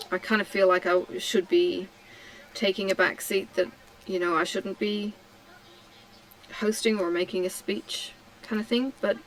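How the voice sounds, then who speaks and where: A middle-aged woman from Tasmania chatting casually while she crafts, answering questions slowly with many ums and pauses. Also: fairly low pitch, rough recording. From Australia (Tasmania). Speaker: craft vlogger. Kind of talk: relaxed chat while crafting.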